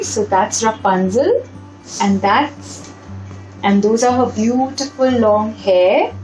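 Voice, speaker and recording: A woman reading a children's story aloud, adding simple explanations and checking in with young listeners through questions. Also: fairly high pitch. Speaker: storybook reader and narrator for children. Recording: roomy echo with background music.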